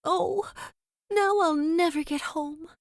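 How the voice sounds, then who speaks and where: A woman's voice: extremely high pitch, slow, no room sound, clean studio sound, voice pushed up, heavily acted. A woman voicing a sweet, earnest young farm girl in a storybook, speaking tenderly and plaintively. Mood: tearful.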